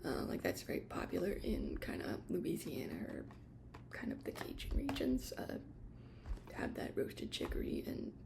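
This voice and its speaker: A woman doing a whispered ASMR shop roleplay as an attendant, explaining things gently in a hesitant, rambling way. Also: fairly low pitch, huge pitch swings, moderate pace, creaky.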